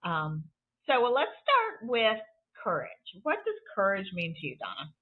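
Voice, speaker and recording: Husky-voiced woman host on tinny line. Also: mid-range voice, wildly swooping pitch, a little slow. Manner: upbeat, chatty, rambling. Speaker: podcast host. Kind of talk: conversational podcast interview.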